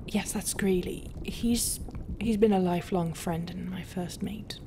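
A woman playing a proud, brooding, non-human pirate captain in a roleplay, guarded and often dropping into a half-whisper with hesitant pauses. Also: lowish voice, fairly even intonation, background noise. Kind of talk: roleplay ASMR acting.

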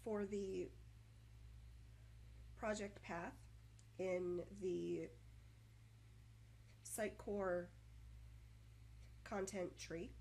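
Woman, scripted software tutorial narration, stuttering, long pauses, repetitions, over-enunciated, very slow. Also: mid-range voice, steady tone, creaky, husky, rough recording.

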